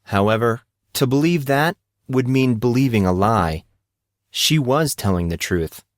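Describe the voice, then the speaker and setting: A man's voice: medium pitch, expressive, measured pace, no echo, clean studio sound. A man reading fiction aloud as a dramatic scripted narration. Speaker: audiobook narrator.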